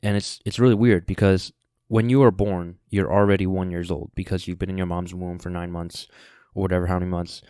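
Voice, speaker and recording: Low, flat-voiced man in his twenties. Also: brisk, clear, resonant tone, dry recording, clean studio sound. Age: 20s. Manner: rambling, many fillers and restarts, laid-back. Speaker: podcast host. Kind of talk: casual solo podcast monologue.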